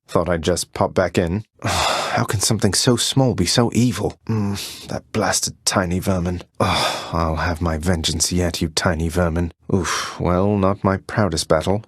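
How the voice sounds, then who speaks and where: Rebellious young underworld prince for a game: low register, dry studio sound, wry understatement, courteous ease. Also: male voice, dry recording. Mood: casual, then irritated, then wry, satisfied. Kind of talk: video game character voice lines.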